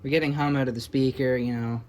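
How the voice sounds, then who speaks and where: A man's voice: mid-range voice, steady tone, fast talker. A man giving a casual, informal narration of hands-on repair work, thinking aloud a little hesitantly as he goes. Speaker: hobbyist restorer presenting a repair.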